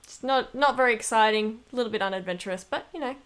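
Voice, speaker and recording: A woman's voice: on the high side, fast, a bit bright, smooth. Informal, chatty female voice actor. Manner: giggly, informal, rambling. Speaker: voice actor being interviewed. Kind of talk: casual interview chat.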